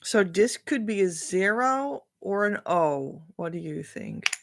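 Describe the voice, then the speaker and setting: Slow, musing Dutch-accented woman. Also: medium pitch, expressive, creaky. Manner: thinking aloud, musing, hesitant. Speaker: spiritual coach. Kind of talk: casual spiritual reading.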